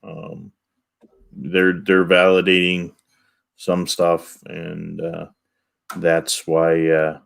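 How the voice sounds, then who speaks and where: A man co-hosting a live show, addressing viewers in halting, rambling talk full of stammered restarts. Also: deep voice, monotone, vocal fry. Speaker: co-host reading chat questions.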